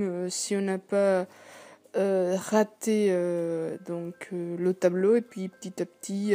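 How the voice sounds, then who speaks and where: Young woman, hoarse, quiet and hesitant, mellow tone, faint music under her voice. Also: mid-range voice. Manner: speaks softly, hesitant with many fillers. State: hoarse, sore throat. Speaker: art channel host. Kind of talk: casual vlog voice-over about painting.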